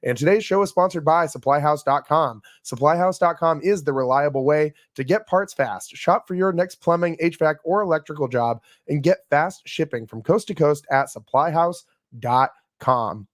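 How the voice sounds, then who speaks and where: A man with a raspy, worn voice hosts a casual sports podcast, chatting through a game recap with frequent fillers and restarts. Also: a little high, moderate pace, no room sound, studio quality. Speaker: podcast host.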